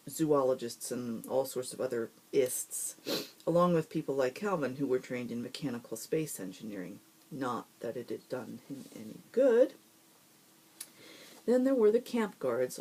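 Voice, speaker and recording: A host reading fiction aloud and introducing it informally, with expressive storyteller delivery. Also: medium pitch, slightly rough, poor audio.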